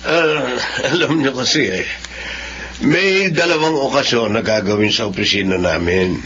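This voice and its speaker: A man's voice: medium pitch, a little slow, close to an everyday voice. A man playing a generous, confident Filipino office boss and customer in a radio drama. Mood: businesslike. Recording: dull audio with clear background noise.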